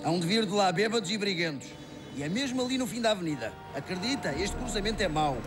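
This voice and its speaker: Man playing a high-voiced grumbling street-corner local, European Portuguese. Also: lively intonation, fast talker, music in the background. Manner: grouchy, muttering complaints. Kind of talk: dramatic comic dub.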